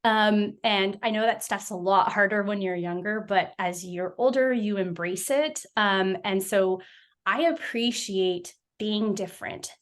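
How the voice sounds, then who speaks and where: A woman's voice: mid-range voice, unhurried. A young woman, an occupational therapy practitioner, talking as a guest in a casual interview, reflective and full of fillers and restarts. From United States.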